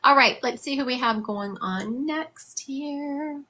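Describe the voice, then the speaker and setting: A woman's voice: high voice, takes it slowly, bright, forceful tone, a little hiss or hum. A woman giving a live psychic medium reading for viewers, chatty and conversational, laughing often.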